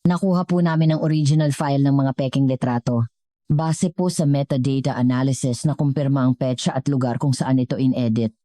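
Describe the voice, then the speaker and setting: Audio story role: businesslike woman assistant, done by the female narrator, formal delivery, soft tone, dry sound. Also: extremely low pitch, fairly even intonation, a little fast, no room sound. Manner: formal, reporting. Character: efficient, formal female corporate aide.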